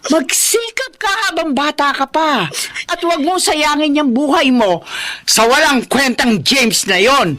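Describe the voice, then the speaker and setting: A woman's voice: high voice, animated, measured pace, fairly bright tone. An actress playing a stern, scornful middle-aged mother and grandmother in a Filipino radio drama, scolding emphatically.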